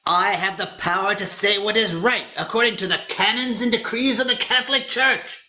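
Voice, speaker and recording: A man's voice: sits high, fairly even intonation, normal speed, pushed and bright. A man playing a righteous, unbending religious statesman in an online play reading, speaking formally and admonishingly. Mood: righteous, defiant.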